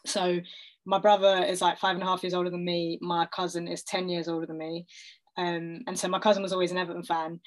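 Chatty London woman, lowish voice. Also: flat delivery, fairly quick, rough recording. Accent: London English. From North London, England. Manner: chatty, self-deprecating, laughs often. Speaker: guest. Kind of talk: casual interview chat.

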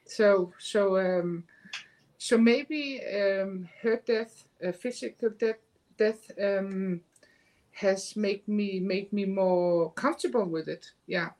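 A woman sharing a personal account in conversation as a guest, haltingly, searching for her words. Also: medium pitch, darkish tone. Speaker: guest, medium.

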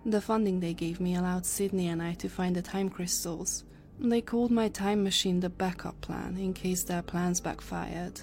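A woman's voice: fairly low pitch, steady tone, moderate pace, darkish tone, slight background noise, lightly acted. A woman playing an earnest, grave time-travel scientist in an audio drama. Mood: grave explaining.

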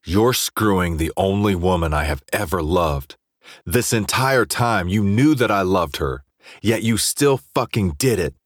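A man's voice: deep voice, lively intonation, unhurried, dry recording, clean studio sound. A man voicing a drunk, jealous, self-loathing young student athlete, confrontational and swearing, in an audiobook drama. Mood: hurt, accusing. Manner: profane, aggressive, confrontational.

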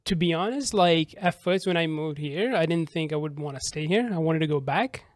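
A man's voice: a little high, brisk, no room sound. A thirty-year-old man from Sri Lanka, chatting casually as a podcast guest.

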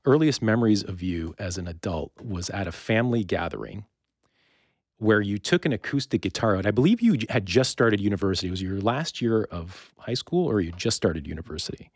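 A man hosting an interview in a casual conversational style, halting and repeating words as he works toward his point. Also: lowish voice, lively intonation, no room sound, studio quality. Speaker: podcast host, interviewer.

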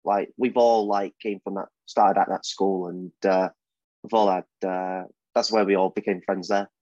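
A young British man answers questions in a casual podcast, hesitant and full of "um"s and "like"s. His voice sits a little low and is recorded dry and close, with a dull, lo-fi tone. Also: little pitch movement, normal speed, darkish tone, no echo. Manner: hesitant, many fillers and restarts. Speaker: wrestler guest.

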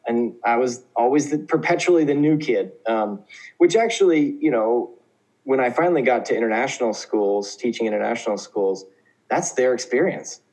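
A man's voice: mid-range voice, lively intonation, normal speed, darkish tone, low-quality recording. A man in his thirties from Oklahoma, a teacher, talking casually as a guest in an interview, with many fillers and restarts. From Oklahoma, USA. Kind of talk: conversational interview.

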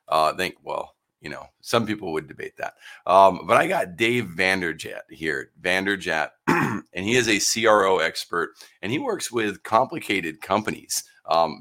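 A man hosting a live business podcast, hoarse from losing his voice, rambling and upbeat through his intro and sponsor mentions. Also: deep voice, lively intonation, a little slow, pushed and bright. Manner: rambling, upbeat, self-deprecating. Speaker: podcast host.

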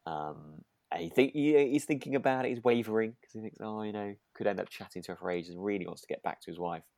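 A man's voice: on the low side, fast, vocal fry, warm tone, dry recording, clean studio sound. A British man co-hosting a podcast, chatting casually and somewhat tentatively as he recaps a radio drama. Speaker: podcast co-host.

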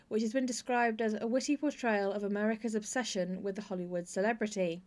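A woman hosting an interview podcast, speaking in a measured, articulate, explanatory way. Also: mid-range voice, a little mellow. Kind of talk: interview chat and scripted introduction.

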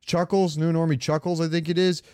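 A man's voice: fairly high pitch, level tone, brisk, darkish tone, smooth, dry recording, studio quality. A man co-hosting a podcast, chatting casually about video games in a rambling way with some swearing. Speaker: podcast co-host.

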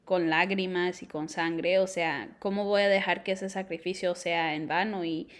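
Chatty young woman, lower voice. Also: fairly even intonation, normal speed. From Colombia. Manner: chatty, mixes in English words. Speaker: podcast co-host. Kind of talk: casual chat.

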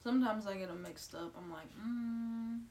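A woman in her early thirties, chatting casually as a podcast co-host, playful and quick to laugh. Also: mid-range voice, crowd noise. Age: 30s. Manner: laughs easily, reads trivia aloud, playful. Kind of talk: casual chat. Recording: distant, faint hiss.

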